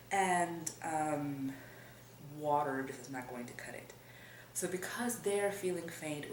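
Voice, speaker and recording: A woman's voice: lowish voice, distant mic. Woman telling a story in an echoey room. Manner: animated, laughing often, lively emphasis. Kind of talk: casual storytelling. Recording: roomy echo, voice a bit off-mic, faint room noise.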